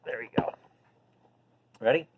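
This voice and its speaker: A man hosting a children's bedtime read-aloud, talking gently and simply to viewers in an unhurried way. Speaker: host reading picture books to children. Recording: phone-like narrow-band sound.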